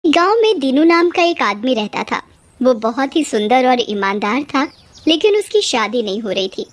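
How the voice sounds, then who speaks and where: A woman's voice: sits high, very animated, moderate pace, dry recording. A woman reading scripted narration for a cartoon moral story.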